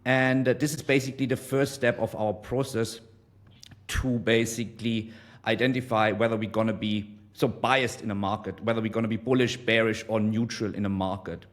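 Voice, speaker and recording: Man in his forties, low voice. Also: steady tone. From Germany. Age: 40s. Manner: measured, explanatory, many ums. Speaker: guest trader. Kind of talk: casual interview.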